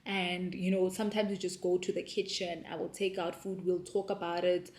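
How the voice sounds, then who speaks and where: South African woman, 30s, low-ish voice, relaxed homeschool vlog chat, roomy sound. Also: normal speed. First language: not Afrikaans. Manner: relaxed, many ums and restarts. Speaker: homeschooling mom and vlogger. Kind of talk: casual explainer vlog.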